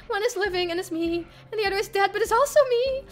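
A woman's voice: very high-pitched, quick pace, pitched above the performer's own voice. A woman voicing a distraught, anguished young heroine of a horror visual novel in dramatic first-person narration. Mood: desperate.

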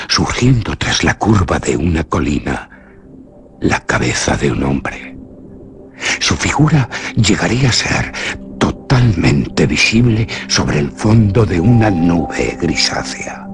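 Horror radio play: a feverish, lost, haunted man, Castilian Spanish, solemn and theatrical, low gravelly voice on a noisy recording. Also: very animated, slow pace, exaggerated voice. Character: anguished, feverish lost man narrating his own haunting.

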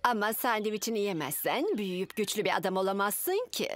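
A woman's voice: mid-range voice, expressive, a little fast, no room sound, close to an everyday voice. A woman playing a fussing, doting mother in a children's cartoon dub.

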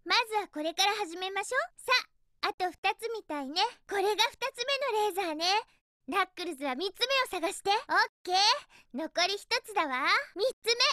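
A spirited, quick-tempered young hedgehog girl from a video game. The voice is extremely high-pitched and bright, with a close, dry sound. She speaks in a perky, emphatic way with a bossy, feisty edge. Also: expressive, no echo, exaggerated voice. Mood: focused. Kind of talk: Japanese video game character voice clips.

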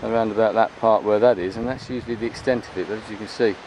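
A man's voice: fairly low pitch, fairly even intonation, quick pace, a little mellow, rough recording. A man gives a casual, rambling, unscripted commentary as he walks, recorded outdoors on a handheld camera. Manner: chatty, rambling. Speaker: vlogger filming a beach walk. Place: open beach at low tide. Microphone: handheld camera's built-in microphone. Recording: outdoor handheld recording, clear wind and water noise.

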